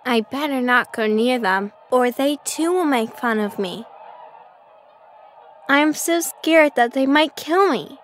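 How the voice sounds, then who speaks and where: High-pitched lonely little duckling. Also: female voice, lively intonation, a little slow, slight background noise. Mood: hurt, sad. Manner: plaintive, earnest. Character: lonely, gentle young ugly duckling. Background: music.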